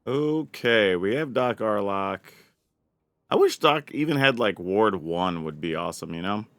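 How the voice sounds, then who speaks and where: A man with a raspy voice giving an upbeat, casual rundown of his card game deck as a channel host. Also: fairly low pitch, lively intonation, a little slow, fairly bright tone, no echo. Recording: dry close mic with faint music.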